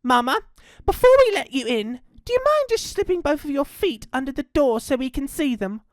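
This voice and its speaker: An excitable little kangaroo joey from a children's storybook, acted by a woman. The voice is high and childlike, eager and exclamatory, leaping around in pitch. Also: normal speed, no room sound. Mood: wary. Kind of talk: children's story character acting.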